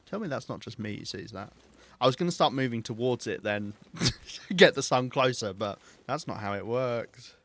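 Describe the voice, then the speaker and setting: A man with a southern English accent, chatting casually and self-mockingly while streaming a game, with a calm, soothing voice. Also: medium pitch, normal speed, no echo. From southeast England. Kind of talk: casual gameplay chat.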